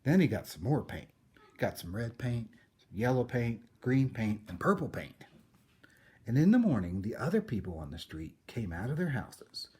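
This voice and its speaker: A man reading a children's picture book aloud in a theatrical way, with dramatic pauses. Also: medium pitch, a little mellow. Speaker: story reader.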